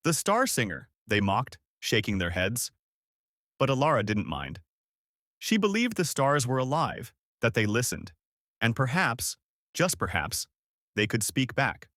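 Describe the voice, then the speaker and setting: A man's voice: mid-range voice, very animated, unhurried, a bit bright, no room sound, clean studio sound. A man narrating a scripted fairy tale for children as a storyteller.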